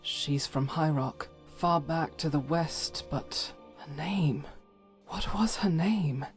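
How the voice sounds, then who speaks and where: A woman's voice: low voice, slow, soft background music. A woman voicing a devout, stern holy warrior who carries private doubt, speaking solemnly and formally, as in a fantasy game. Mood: guarded, resolute. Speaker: voiced follower character in a fantasy game mod. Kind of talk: scripted dramatic game dialogue.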